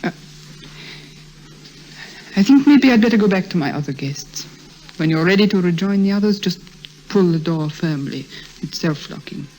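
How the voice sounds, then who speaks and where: A woman's voice: fairly low pitch, lively intonation, moderate pace, long pauses, natural voice. A woman playing a guarded, world-weary wealthy hostess, reserved and polite, in a 1950s radio drama. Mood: uneasy. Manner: reserved, cool, polite, wistful. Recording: old radio recording, faint hiss.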